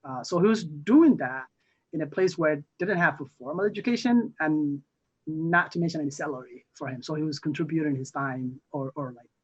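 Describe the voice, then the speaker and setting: A young man from Somalia, a newly elected council member, answering interview questions haltingly with many fillers and restarts. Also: fairly high pitch, breathy edge. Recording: muffled lo-fi audio.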